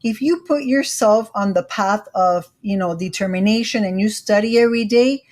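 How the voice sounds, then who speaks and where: A woman's voice: mid-range voice, normal speed, a little mellow. A woman in her forties with a Miami accent, speaking earnestly as an interview guest, with frequent fillers. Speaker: interview guest, credit educator. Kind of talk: casual interview conversation.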